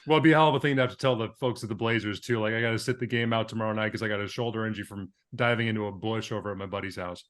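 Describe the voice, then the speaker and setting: A man's voice: fairly low pitch, steady tone, rapid-fire, few pauses, fairly bright tone. A man hosting a podcast, interviewing in a casual but wordy, articulate way.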